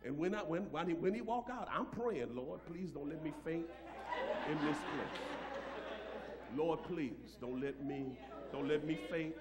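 Middle-aged American male preacher, high voice, folksy emphatic storyteller, live church with audible congregation. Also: measured pace, crowd noise. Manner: emphatic, repeats phrases, folksy. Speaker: pastor. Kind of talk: animated sermon with personal storytelling. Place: church sanctuary, at a pulpit. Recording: live church room with audience reactions. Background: congregation reacting.

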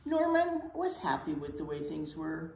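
A woman in her sixties reading a picture book aloud to children, with dramatic pauses and emphasis. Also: sits low, big pitch swings, gravelly, a little mellow. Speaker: children's storytime reader. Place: indoor children's library room. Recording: roomy space with faint background noise.

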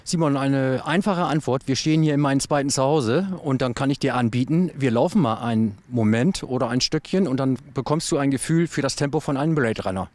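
A man's voice: mid-range voice, brisk, hardly any pauses, a little mellow, dry recording. A middle-aged man, an athlete, talking in an outdoor interview. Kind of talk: interview talk. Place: outdoors on a sports track. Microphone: handheld reporter microphone.

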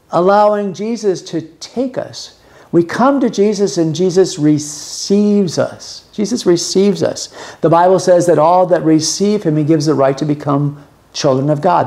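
An older man in his sixties, teaching scripture with earnest, plain talk. His voice sits a bit higher than most men's, and he often restarts words mid-thought. He's recorded on a desk camera in a small room. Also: dark tone. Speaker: podcast host teaching the Bible. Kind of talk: Bible teaching monologue. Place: small room with music gear behind.

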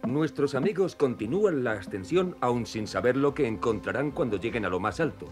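A man with a mid-pitched voice narrates a children's cartoon. His scripted storytelling swings expressively up and down over clear background music. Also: lively intonation, moderate pace.

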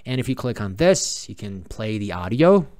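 A man, a native Persian speaker with a Persian accent, presenting a step-by-step software tutorial in an informal, explanatory and repetitive manner. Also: lowish voice, big pitch swings, moderate pace, clear, resonant tone, dry recording. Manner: explanatory, repetitive, posing viewers' questions and answering them. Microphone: close mic, screen-recording voice-over.

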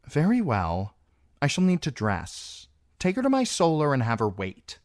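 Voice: mid-range voice, wildly swooping pitch, measured pace, a little mellow, no echo, studio quality, pitched above the performer's own voice. A narrator voicing a proud, impatient, scheming queen in an audiobook, with an imperious, cutting and scornful manner. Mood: commanding.

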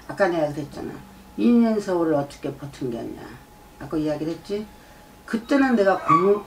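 A middle-aged woman speaks as a shaman giving a reading in a low, lecturing voice. She circles back over her points and keeps checking in with "eh?". The camera mic sits away from her, with a faint hum in the room. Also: distant mic. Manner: lecturing, repeats herself, prompts with "eh?". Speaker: shaman fortune teller. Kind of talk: fortune-telling consultation. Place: shrine room with folding screen and drum. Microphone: far camera.

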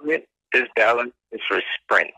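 A man working as a debt collection agent on a phone call, giving a scripted pitch in an insistent, repetitive, formal manner. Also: animated, normal speed, vocal fry, sharp tone, slight background noise. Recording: phone line. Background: telephone call.

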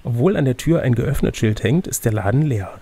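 A man's voice: medium pitch, expressive, fairly quick, vocal fry, dark tone. A man reading an adventure gamebook aloud as game master, with casual, teasing banter. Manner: teasing, playful.

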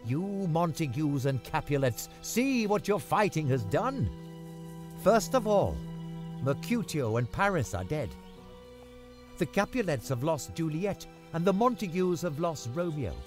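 A man's voice: a little high, sing-song, measured pace, faint music behind. An actor playing a stern, grieving nobleman in a formal, declamatory style for a dramatized classic. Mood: stern reproach. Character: stern, grieving authoritative Italian nobleman of old Verona. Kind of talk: dramatized illustrated-classic audio play.

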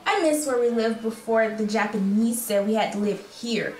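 A woman's voice: on the high side, moderate pace, darkish tone, lightly acted. A woman playing a young, candid, chatty refugee girl in a radio play. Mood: wistful. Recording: echoey room with faint noise.

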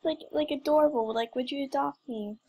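A woman's voice: high-pitched, brisk, dark tone. A young woman giving casual, playful game commentary with mock drama and exclamations. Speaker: gamer recording gameplay.

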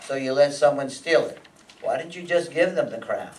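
A man's voice: medium pitch, moderate pace, a little mellow, a little hiss or hum, natural voice. An older man playing a hard-boiled, sardonic private detective and narrator in a radio play, terse and matter-of-fact. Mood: pressing. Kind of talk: old-time radio acting and noir narration. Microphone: stand microphone on stage, heard from audience. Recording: live stage pickup from far off, music.

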